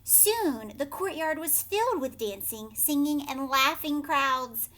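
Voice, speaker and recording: A woman teacher reading a storybook aloud to young children, dramatic and expressive, with hushed questioning asides. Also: sits high, takes it slowly.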